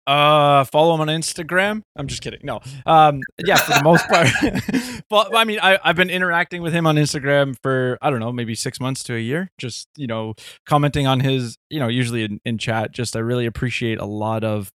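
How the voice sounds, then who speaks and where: A man's voice: medium pitch, steady tone, a little fast, fairly bright tone, smooth, dry recording, clean studio sound. A man from Canada co-hosting a podcast, talking casually with many fillers and restarts. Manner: rambling, hesitant, filler-heavy. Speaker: podcast co-host.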